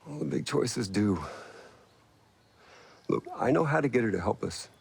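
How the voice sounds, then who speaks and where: A man's voice: medium pitch, animated, moderate pace, gravelly, breathy edge, exaggerated voice. An actor playing a brilliant male engineer in a video game cutscene, earnest and pressing as he explains. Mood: urgent.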